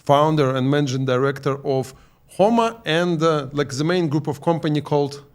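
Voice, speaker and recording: A man hosting a podcast interview in a studio, speaking in a halting way with many fillers and tag questions. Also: on the high side. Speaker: podcast host. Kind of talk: casual interview.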